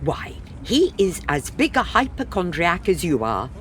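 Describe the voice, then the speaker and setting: A woman's voice: lowish voice, big pitch swings, a little slow, slight background noise. A woman acting a sensible, dryly exasperated middle-aged wife in a comic period farce. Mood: skeptical.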